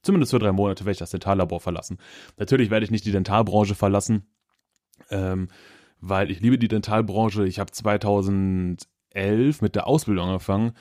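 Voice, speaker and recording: A man's voice: fairly low pitch, fairly quick, a little mellow. A man in his thirties from Germany, hosting his own podcast in a loose, upbeat chat from his office, with plenty of fillers. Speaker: podcast host. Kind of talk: casual solo podcast chat.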